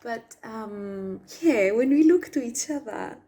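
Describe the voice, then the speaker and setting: Slow-spoken Argentine woman, soft whispers. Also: a little high, animated. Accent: Argentine Spanish. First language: Spanish. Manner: slow, soft, drops to whispers on some words. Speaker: spiritual channel. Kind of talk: spiritual message to viewers.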